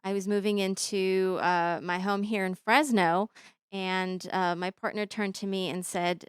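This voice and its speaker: A woman in her thirties, born in Bosnia with Bosnian as her first language, telling her story as an interview guest with many pauses and fillers. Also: medium pitch, fairly even intonation, clear, resonant tone, dry recording, clean studio sound. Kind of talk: conversational interview.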